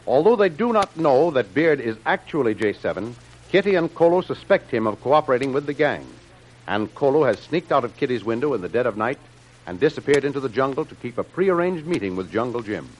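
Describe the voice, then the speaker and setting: A man's voice: medium pitch, big pitch swings, brisk, slight background noise, rough recording. A man announcing and narrating an old 1930s radio serial in a declamatory, dramatic, scripted style.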